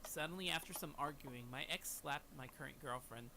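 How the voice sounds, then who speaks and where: A man's voice: on the high side, a little fast. A young man reading a scripted first-person dramatic story as its narrator. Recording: clear background noise behind narration.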